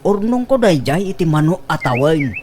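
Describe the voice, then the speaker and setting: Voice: medium pitch, big pitch swings, moderate pace, darkish tone, a little hiss or hum, natural voice. An actor as an insistent, swooping Ilocano son-in-law. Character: insistent young son-in-law demanding repayment. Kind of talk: comedic Ilocano radio drama acting.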